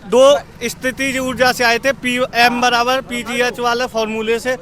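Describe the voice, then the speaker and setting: A man's voice: very high voice, flat delivery, measured pace, fairly bright tone. A young man giving casual answers in a street interview. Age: young adult. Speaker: exam candidate being interviewed. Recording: crowded outdoor street recording.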